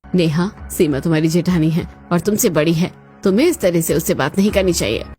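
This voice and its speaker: A woman's voice: fairly low pitch, fairly quick, warm tone, faint music behind, lightly acted. A woman voicing a stern older mother-in-law in a cartoon family drama, with emphatic, scolding delivery. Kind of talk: Hindi cartoon moral-story voice acting.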